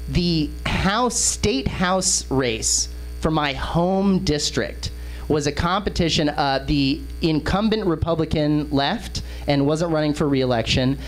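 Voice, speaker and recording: A man from Texas with an American accent hosts a comedy panel show in casual, profane, excitable banter. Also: on the high side, low-quality recording. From Texas, United States. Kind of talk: casual comic political chat.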